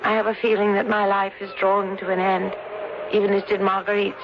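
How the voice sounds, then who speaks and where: A woman's voice: mid-range voice, level tone, a little fast, lightly acted. An actress playing a frail, trusting, melancholy young woman, hesitant and genteel, in an old-time radio melodrama. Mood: wistful, resigned. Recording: dull, noisy old radio broadcast.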